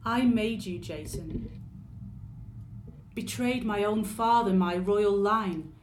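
A woman's voice: medium pitch, slow pace, a little hiss or hum, natural voice. A woman from Lancashire, speaking with a Lancashire accent, voicing a bitter, vengeful wife of myth who was betrayed by her husband, with sarcastic, declaiming lines. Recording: roomy echo.

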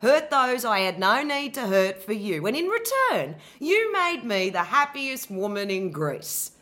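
A woman in her thirties playing a betrayed, bitter queen in a dramatic monologue, sarcastic and swinging from low muttering to loud outbursts. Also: sing-song, measured pace. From Australia. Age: 30s. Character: betrayed furious queen, bitter abandoned wife. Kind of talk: dramatic monologue, audition piece.

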